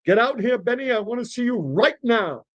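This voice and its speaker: Man putting on a demanding old man, pitched way up. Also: animated, moderate pace, highs cut off. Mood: insistent. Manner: insistent, repeats himself, demonstrative. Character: demanding, stubborn old male deli customer.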